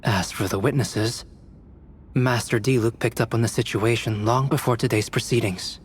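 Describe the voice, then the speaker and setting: An actor playing a composed young alchemist knight in a video game, speaking in a measured, formal way. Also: male voice, medium pitch, steady tone, slight background noise, lightly acted. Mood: calm explaining.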